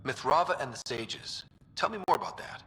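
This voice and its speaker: An actor playing an easygoing, curious young human space explorer in a video game, informal and reassuring. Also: male voice, medium pitch, expressive, a little fast, pushed and bright, a little hiss or hum. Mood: casual. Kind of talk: video game dialogue acting. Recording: long reverb, music underneath.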